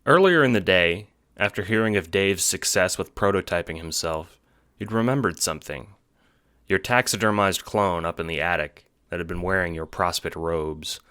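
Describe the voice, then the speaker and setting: A man's voice: sits low, sharp tone, no echo, studio quality. A man reading scripted second-person narration for an audio drama.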